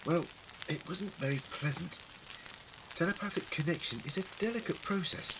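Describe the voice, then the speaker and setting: A man's voice: on the high side, moderate pace, noisy background, lightly acted. A man acting in a fan audio drama as an eccentric, clever Time Lord adventurer, musing, wordy and wryly witty. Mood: wry, explaining.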